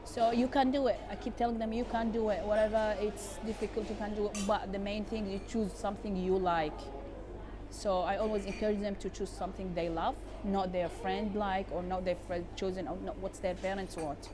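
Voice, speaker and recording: A woman who learned English later in life, a high school teacher speaking earnestly in an interview, with hesitations and non-native phrasing. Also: fairly even intonation, fairly quick. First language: not English. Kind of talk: reflective interview testimony. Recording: clear background noise.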